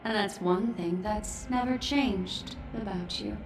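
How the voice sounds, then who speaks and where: An actress playing a composed, shrewd video game woman who speaks in a measured, coolly pointed manner. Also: medium pitch. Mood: wry challenge. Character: composed, shrewd, cool-headed woman facing her old betrayer. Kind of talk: dramatic video game voice acting. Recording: echoey, distant, music underneath. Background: game music.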